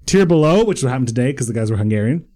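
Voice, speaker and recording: A man's voice: mid-range voice, animated, rapid-fire, dark tone. A man with an Irish accent, co-hosting a podcast and telling rambling, self-mocking stories in casual banter. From Ireland. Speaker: podcast co-host.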